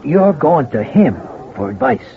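A man's voice: high voice, sing-song, measured pace, creaky, crowd noise, exaggerated voice. An actor playing a cocky, scheming small-time crook in an old-time radio crime drama, with slangy wise-guy talk. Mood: cocky, conspiring. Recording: dull vintage radio recording with music.

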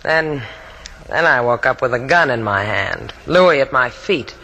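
A tough young heroine from vintage radio drama. Her low voice is animated and bright, with long reverb around it. She is wry and dramatic. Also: a little slow, a little hiss or hum, full character voice. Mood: shaken, wry. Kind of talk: 1940s-50s radio adventure acting.

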